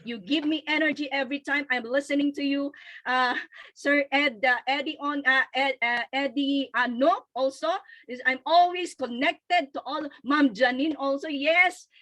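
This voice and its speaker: Filipino lady doing a motivational pitch, high forceful voice, super fervent, repeats herself. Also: fairly even intonation, moderate pace. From Philippines. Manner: fervent, emphatic, repetitive. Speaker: network marketing leader and guest speaker. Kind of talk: motivational testimonial.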